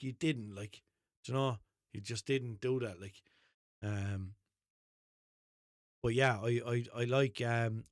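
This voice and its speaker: A man's voice: mid-range voice, no room sound, studio quality. Rambling Irish man with a Limerick accent. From Ireland. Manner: rambling, self-questioning, swears casually. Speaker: podcast host. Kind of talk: unscripted rambling monologue. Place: small room at home, seated in a desk chair.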